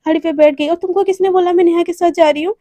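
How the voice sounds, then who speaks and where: A woman voicing a jealous, bossy young office worker who talks loud and demanding. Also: very high-pitched, animated, moderate pace, no echo.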